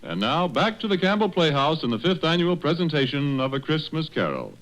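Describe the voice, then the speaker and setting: Old timey radio announcer guy, declamatory, very lively intonation, music under him. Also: fairly high pitch, fairly quick, poor audio. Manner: formal, declamatory. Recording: vintage radio broadcast with music behind.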